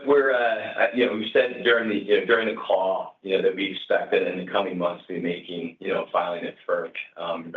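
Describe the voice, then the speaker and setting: A man speaking as a company executive, answering questions on an earnings call in a halting way peppered with "you know". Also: deep voice. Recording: echoey, distant, phone-like conference call.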